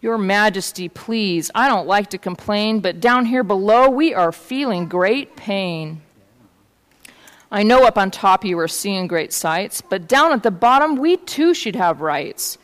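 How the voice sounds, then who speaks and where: A woman plays a plain little storybook turtle, humble and put-upon. He pleads politely and groans, in a clear voice with long hall reverb around it. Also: medium pitch, animated, a little slow, close to an everyday voice. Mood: pained protest. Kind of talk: storybook read-aloud.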